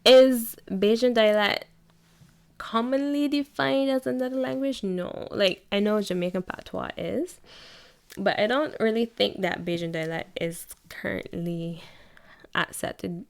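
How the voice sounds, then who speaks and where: A young Barbadian woman hosts her own podcast, talking through personal questions in a relaxed, rambling way and laughing easily. Her voice sits at a medium pitch with a gravelly fry at the ends of phrases. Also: unhurried. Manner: chatty, laughs often, self-correcting. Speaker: podcast host. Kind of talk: casual solo podcast chat.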